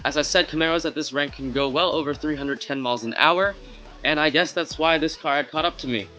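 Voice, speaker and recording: Young male game commentator, first language Chinese, bright and fairly high, soft music under him. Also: brisk. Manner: chatty, self-mocking, upbeat. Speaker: gaming YouTuber. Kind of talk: casual game commentary voice-over.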